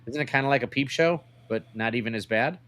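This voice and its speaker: A man's voice: mid-range voice, fast talker, fairly bright tone, a little hiss or hum. A man co-hosting a podcast in casual, sarcastic banter, needling as he talks. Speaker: podcast co-host.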